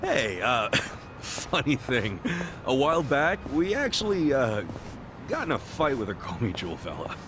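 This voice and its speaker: A man's voice: mid-range voice, wildly swooping pitch, a little slow, noisy background. An actor playing an upbeat, trusting, easily flustered male adventurer in an English video game dub. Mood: sheepish. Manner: eager, stammering when flustered. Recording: music behind dialogue.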